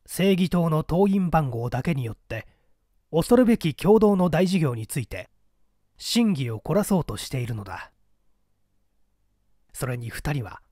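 A male audiobook narrator reads a story in a grave, deliberate and dramatic way. His voice sits slightly high and moves through a wide range of pitch. The recording is very dry. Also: a little mellow, no room sound. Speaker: audiobook reader, stage actor. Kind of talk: dramatic scripted literary narration.

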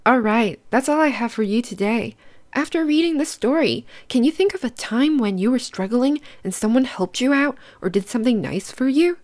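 A woman's voice: on the high side, lively intonation, normal speed, darkish tone. A woman hosting a children's read-aloud, using simple instructive phrasing and putting questions to young listeners. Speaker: host reading picture books to children.

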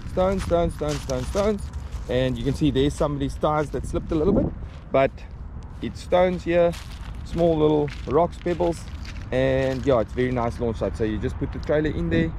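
South African man, forties, casual rambling vlog talk, handheld camera, clear outdoor noise behind. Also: on the high side. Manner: relaxed, chatty, many ums and restarts. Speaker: fishing vlogger. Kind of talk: casual guided tour to camera. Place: outdoors by an estuary, partly in a car. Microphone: action camera held at arm's length.

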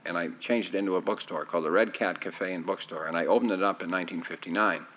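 A man's voice: low voice, fast talker, fairly bright tone, slight background noise. An older man reminiscing in an oral history interview, rambling, with frequent restarts. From United States. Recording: dull lo-fi sound.

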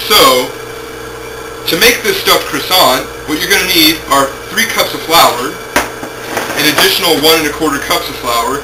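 Young man hosting a homemade cooking show. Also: on the high side. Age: young adult. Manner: jokey, offhand, talks while working. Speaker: home cooking show host. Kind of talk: casual cooking demonstration. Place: home kitchen, indoors. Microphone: camera mic, a few feet away. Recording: noisy home-video camera audio.

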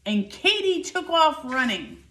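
A woman in her fifties reading a children's picture book aloud indoors, with expressive, deliberate, emphatic delivery. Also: high-pitched. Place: living room by a fireplace, indoors. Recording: roomy, echoing indoor sound.

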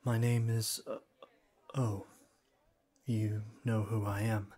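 A man playing a shy young classmate in an ASMR roleplay, speaking formally and stiffly with hesitant stammers and a cool, deadpan manner. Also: on the low side, takes it slowly. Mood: cool, reserved. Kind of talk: ASMR roleplay, scripted one-sided dialogue.

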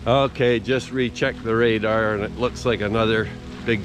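A man's voice: on the low side, steady tone, normal speed, music in the background. An older man narrating a walk outdoors in a casual, understated, musing way into a handheld camera. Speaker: vlogger. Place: outdoors on a rural trail in rain. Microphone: handheld camera at arm's length.

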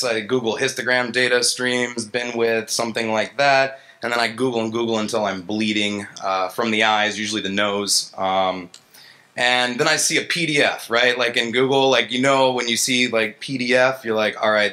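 A man's voice: on the low side, steady tone, moderate pace, sharp tone. A man in his thirties giving an informal, colloquial conference talk as an engineer, self-deprecating and acting out his reactions, into a stage microphone. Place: stage in an indoor gallery event space. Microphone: handheld-style vocal mic on a stand.

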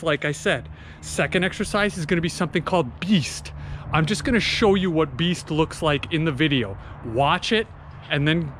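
A man's voice: fairly high pitch, a bit bright, a little hiss or hum. A man in his thirties coaching a home workout outdoors on a driveway, explaining the exercises and counting reps into a camera mic. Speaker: fitness instructor. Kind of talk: workout instruction. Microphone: camera mic, often at a distance.

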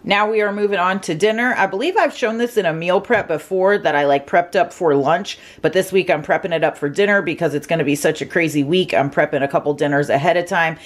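A woman's voice: lowish voice, fast, few pauses, faint music behind. A young woman with an upbeat, chatty voice-over, walking through her weekly meal prep in a home kitchen. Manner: upbeat, chatty, lists macros and steps. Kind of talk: casual cooking voice-over.

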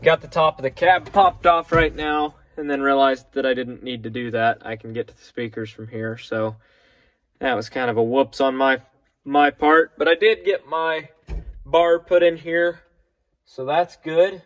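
A young man vlogs from his farm shop and tractor cab with a phone held at arm's length. His voice is bright and close with a dry sound, and he chats in a relaxed way, with easy pauses and fillers. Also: mid-range voice, dry recording. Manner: relaxed, chatty, many ums. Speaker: farmer vlogger. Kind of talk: casual vlog walkthrough. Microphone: handheld phone at arm's length.

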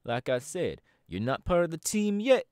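A man's voice: fairly high pitch, sing-song, normal speed, darkish tone, smooth, dry recording, studio quality. A man voice acting a laid-back young male DJ gang boss in an ASMR audio roleplay, talking in an easygoing, chatty drawl. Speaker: voice actor.

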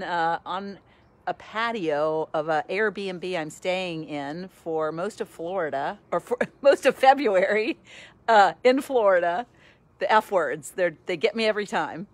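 A woman in her sixties, a podcast host, chatting casually and cheerfully into a phone at arm's length on a sunny outdoor patio, laughing often. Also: fairly low pitch. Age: 60s. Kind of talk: casual selfie video chat.

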